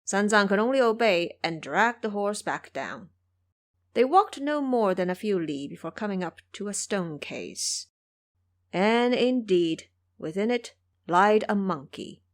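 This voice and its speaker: A woman's voice: medium pitch, animated, unhurried. A woman narrator telling a scripted story in the style of an audio drama.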